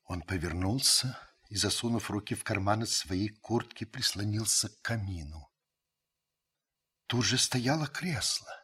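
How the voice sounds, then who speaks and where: Husky, reflective French uncle narrator. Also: male voice, fairly low pitch, bright, forceful tone, exaggerated voice. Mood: observant, grave. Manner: measured, literary storytelling. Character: reflective, observant French uncle narrating his wartime memories. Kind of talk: radio drama narration.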